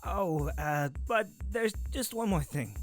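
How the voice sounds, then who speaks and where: A man's voice: sits high, lively intonation, slow. A man playing a talkative, informal crewman loyal to his space pirate captain in an audio roleplay. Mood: loyal, reporting. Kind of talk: ASMR roleplay audio drama acting. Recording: music under the voice.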